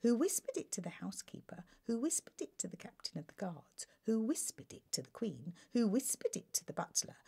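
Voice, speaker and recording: A woman reading a children's bedtime story aloud in an expressive, theatrical manner. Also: medium pitch, brisk, creaky, few pauses, slightly rough, in a whisper. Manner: animated, theatrical delivery.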